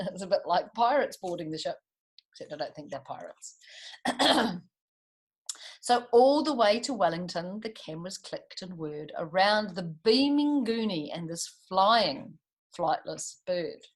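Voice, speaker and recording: Expressive New Zealand woman reading to children. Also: on the low side, unhurried. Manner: expressive, chatty, teacherly asides. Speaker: storytime reader for children. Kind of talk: animated picture-book read-aloud with chatty asides to children.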